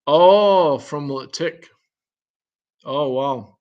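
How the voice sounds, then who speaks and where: A man's voice: on the high side, drawn-out words, a little mellow, highs cut off. Man rambling in a chatty, curious way. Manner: rambling, thinking aloud, curious; chuckles often between remarks. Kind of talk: casual chat.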